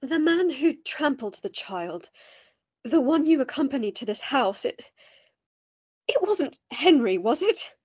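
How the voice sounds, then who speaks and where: A woman playing an earnest, worried, caring gentlewoman in a radio play. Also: sits high, very animated, a little slow, no echo.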